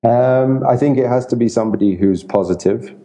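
A middle-aged man from Italy who grew up in England, a humanitarian worker answering interview questions thoughtfully with many pauses and restarts. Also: mid-range voice, mellow. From Italy, raised in England. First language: Italian.

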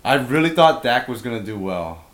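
A man co-hosting a casual podcast chat, his voice raspy from bronchitis, laid-back and quick to laugh. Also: mid-range voice, sing-song. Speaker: podcast co-host. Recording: roomy echo.

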